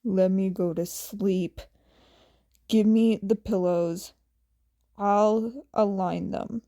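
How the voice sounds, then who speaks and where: A woman's voice: mid-range voice, steady tone, extremely slow, mellow, voice pushed down. A woman voicing a bossy, exasperated young man in a story, with dry, casual, slangy lines. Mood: weary, bossy. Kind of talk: acted storybook dialogue.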